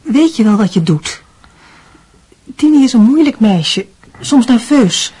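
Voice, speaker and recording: Husky, high-voiced worried mother. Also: lively intonation, unhurried, dark tone. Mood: worried, admonishing. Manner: admonishing, moralizing. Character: worried, admonishing mother of a grown son. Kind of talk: radio drama acting.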